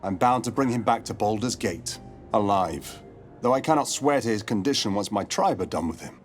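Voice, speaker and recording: A man plays a grave, wary male monster hunter in a fantasy game. His low voice moves expressively through formal, courteous lines with an ominous weight. Soft music sits behind the words. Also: expressive, normal speed, close to an everyday voice. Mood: solemn, menacing. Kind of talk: dramatic video game dialogue acting.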